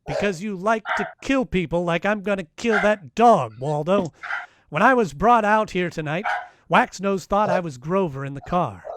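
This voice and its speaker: A man's voice: high voice, expressive, moderate pace. A man playing a sardonic, wisecracking private detective in the style of 1940s radio drama. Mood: taunting. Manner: sardonic, taunting, wry. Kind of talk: 1940s radio detective acting, live performance. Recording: noisy home live-stream recording.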